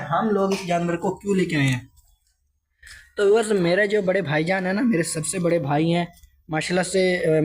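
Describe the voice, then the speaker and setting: Chatty high-voiced vlogger with a sore throat. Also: male voice. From Pakistan. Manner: chatty, addresses viewers often. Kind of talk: casual vlog narration to viewers. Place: hot store room in a village house.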